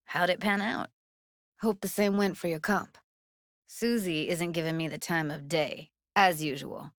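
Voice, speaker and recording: Casting: young female techie for a game, lowish voice, blunt, guarded, streetwise, naturalistic and intimate, dry close recording. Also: no echo. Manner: casual, clipped, slangy, intimate delivery. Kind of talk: naturalistic video game dialogue acting.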